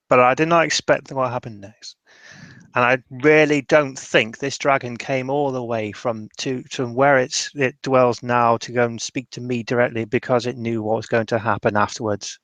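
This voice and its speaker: A tormented mage played by a man in a tabletop roleplay, bitter and defensive. The voice is mid-pitched and dryly recorded, with halting, emotional phrases that often trail off into regret. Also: fairly bright tone, no room sound. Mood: haunted, intense. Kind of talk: improvised tabletop roleplay monologue.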